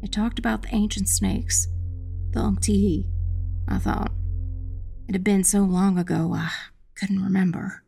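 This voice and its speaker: A woman playing a determined witch who narrates her own dark fantasy audiobook tale in a measured, dramatic, husky and creaky voice. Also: medium pitch, level tone. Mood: tense narrating. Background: music.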